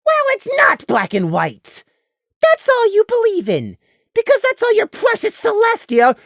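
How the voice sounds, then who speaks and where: A woman's voice: huge pitch swings, very slow, gravelly, fairly bright tone, no echo, clean studio sound, full character voice. A woman voicing a bitter, vengeful young male lamb with a cracking runt's voice in a dramatic audio story, seething and emphatic. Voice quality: high, cracking runt voice.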